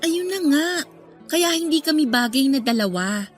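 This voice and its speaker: A woman's voice: sits high, expressive, moderate pace. A woman playing a reserved, self-deprecating young woman in a radio drama, hesitant and confiding. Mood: downcast, resigned. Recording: music behind the voice.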